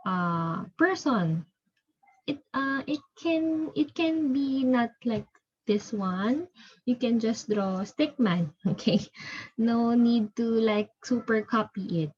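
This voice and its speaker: A woman teacher giving a reading lesson, explaining step by step in a halting, repetitive way and checking understanding. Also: on the high side, slightly rough, muffled recording.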